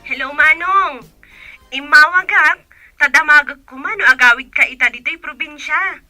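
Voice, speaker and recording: A younger sister phones her big brother in a radio drama. Her voice is high and extremely bright, with faint music underneath. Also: normal speed, no room sound. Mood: eager. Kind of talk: Ilocano radio drama acting.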